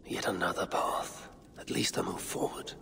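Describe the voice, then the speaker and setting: An actor voicing a game's hero who quietly mutters his thoughts while exploring. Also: moderate pace, whispered, lightly acted. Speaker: player character.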